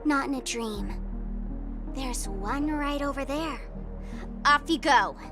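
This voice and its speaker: High-voiced, slow, mocking trickster girl. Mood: scornful. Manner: mocking, theatrical teasing. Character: sly, taunting, playful young woman trickster. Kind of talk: video game character acting. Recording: music playing behind the voice.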